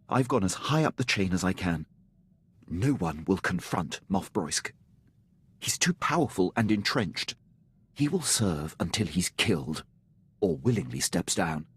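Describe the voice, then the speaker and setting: An actor playing a dutiful, precise Imperial officer in a video game, speaking formally and deferentially. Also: male voice, low-pitched, slightly rough, heavily acted. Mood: grim, resolute.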